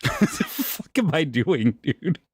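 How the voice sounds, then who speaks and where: Male voice actor between takes: casual, self-amused, sweary, husky tone with lively pitch swings, close mic. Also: slow, dry recording. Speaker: amateur voice actor recording audio roleplay.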